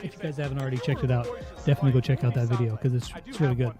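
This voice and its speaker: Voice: medium pitch, fairly even intonation, rapid-fire, music in the background. An interviewer hosting a casual chat in an easygoing, enthusiastic way. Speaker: interviewer and channel host.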